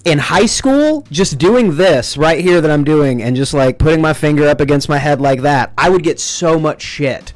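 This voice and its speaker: Earnest, animated young man from rural Texas. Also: on the high side, normal speed, few pauses, no room sound, faint music behind. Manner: earnest, emotional, talks with his hands. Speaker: podcast host. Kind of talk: casual conversation.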